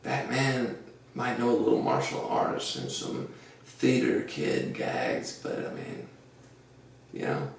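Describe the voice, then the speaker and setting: Gravelly deep-voiced man rambling in an echoey room. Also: big pitch swings, slow pace, distant mic. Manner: rambling, earnest pep talk with many fillers. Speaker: podcast host. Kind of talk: casual podcast monologue. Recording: echoey room, off-mic, faint noise.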